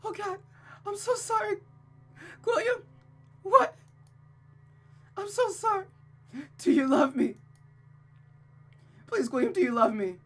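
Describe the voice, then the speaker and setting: A woman's voice: expressive, slow, pauses often, a bit bright, faint music behind, exaggerated voice. A woman voicing an unhinged, lovesick young noblewoman in a visual novel read-aloud, with a frantic, volatile manner. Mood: sobbing, pleading. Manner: shrill, pleading, prone to shrieking.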